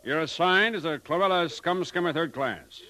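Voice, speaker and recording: A man's voice: a little high, normal speed, pushed and bright. An American man playing a bullying, bossy labour overseer in a 1950s radio drama. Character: bullying, gruff-tempered labour camp overseer.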